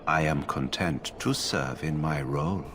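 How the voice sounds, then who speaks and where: Deep-voiced, emotionless tranquil mage. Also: male voice, noisy background. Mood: detached calm. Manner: flat, formal, detached, measured. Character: emotionless branded male mage, calm enchanter stripped of feeling. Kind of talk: video game dialogue. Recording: game music loud behind the voice.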